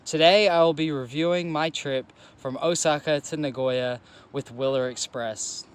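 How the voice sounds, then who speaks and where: A man reading a scripted voice-over for his travel vlog, reviewing a trip in a measured, matter-of-fact way. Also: a little high, clean tone. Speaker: travel vlogger.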